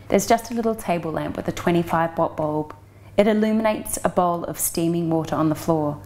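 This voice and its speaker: A woman's voice: fairly low pitch, a little mellow. Woman reading a frank first-person novel. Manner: frank, matter-of-fact first-person delivery. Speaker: audiobook reader.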